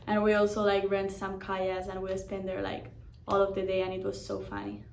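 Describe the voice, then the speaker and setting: A woman's voice: mid-range voice, normal speed, a little hiss or hum. A young woman from Spain, a Spanish native speaker and boarding school student, answering in simple English with frequent "like". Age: young adult. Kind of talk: casual interview answers. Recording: echoey room, mic at a distance.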